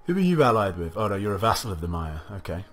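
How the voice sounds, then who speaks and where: Man, low voice, slightly gravelly, recovering from cough, casual let's play commentary, faint background music. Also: big pitch swings, fast, fairly bright tone. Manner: musing aloud, chatty, self-talking. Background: faint game music.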